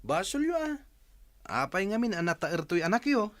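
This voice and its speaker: A man's voice: high voice, very animated, a little fast. An actor playing a cocky, defiant grown son in a radio drama, a man's voice. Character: cocky, defiant grown child of a scolding mother. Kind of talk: Ilocano radio drama acting.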